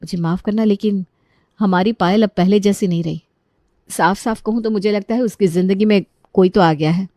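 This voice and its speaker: Woman acting an earnest, worried daughter-in-law, lowered voice. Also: fairly even intonation, fairly quick, warm tone, no echo, clean studio sound, close to an everyday voice. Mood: earnest, defensive. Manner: polite, reasoning, defensive. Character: earnest, worried young daughter-in-law, respectful but misunderstood.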